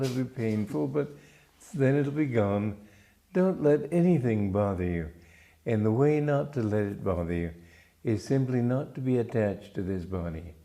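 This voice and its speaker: Calm older man, mellow voice. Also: mid-range voice. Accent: mixed English-American. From Romania. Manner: calm, measured, storytelling. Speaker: spiritual teacher. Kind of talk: spiritual talk.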